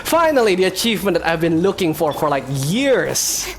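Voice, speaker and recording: A woman's voice: on the low side, big pitch swings, moderate pace. A woman giving casual, chatty gameplay commentary, reacting aloud as she plays. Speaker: gaming streamer. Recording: game music behind the voice.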